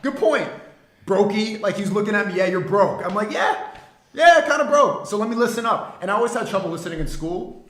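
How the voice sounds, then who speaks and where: A man hosts a casual livestream and talks fast with a playful edge, egging on his guest. His voice sits a bit high for a man. The room has a noticeable echo. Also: expressive, fairly bright tone. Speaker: livestream host. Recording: echoey room.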